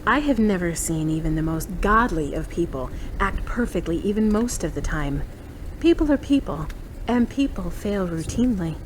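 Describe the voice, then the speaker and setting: A woman's voice: on the low side, animated, normal speed, a little hiss or hum, close to an everyday voice, poor audio. A woman playing a frank, caring friend with a conversational, matter-of-fact way of talking, in an audio drama. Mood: reflective. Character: frank, caring woman, tired but gently teasing. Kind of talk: hobby audio drama acting.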